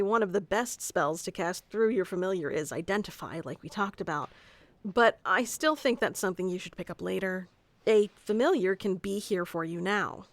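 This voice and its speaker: A woman's voice: mid-range voice, a bit bright, no echo. A woman playing a bossy, geeky tsundere wizard teacher who explains things informally and smugly in an ASMR roleplay. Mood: sheepish, explanatory. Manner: informal, smug, flustered asides, explanatory. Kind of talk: scripted ASMR roleplay audio addressed to the listener.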